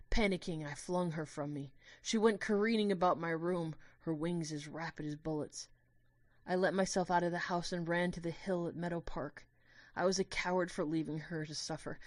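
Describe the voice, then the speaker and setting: A woman playing a lovestruck, guilt-ridden young student, earnestly narrating an audio drama in the first person with expressive storytelling. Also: on the low side, no room sound, natural voice.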